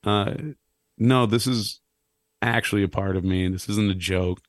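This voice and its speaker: A man in his thirties with a low, slightly raspy voice, chatting casually on a podcast as a guest, rambling and self-deprecating. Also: measured pace, no room sound, clean studio sound. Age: 30s. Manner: rambling, self-deprecating, animated when joking. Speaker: clown and musician guest.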